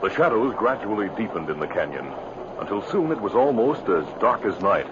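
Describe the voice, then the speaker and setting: A man's voice: fairly low pitch, big pitch swings, a little fast, background noise. A man narrating a 1950s radio adventure as its announcer in a dramatic, scripted style. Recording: muffled old radio recording.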